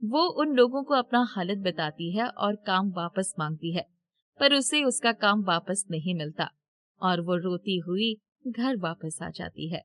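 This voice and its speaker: A woman narrates a scripted story as its storyteller. Her pitch drops lower than in the acted dialogue, though it remains a female narrator's register. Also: expressive, unhurried, a bit bright.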